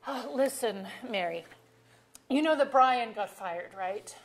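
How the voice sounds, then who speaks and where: A woman playing an effusive middle-aged suburban wife in a staged play reading, exclamatory and halting. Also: a little high, lively intonation.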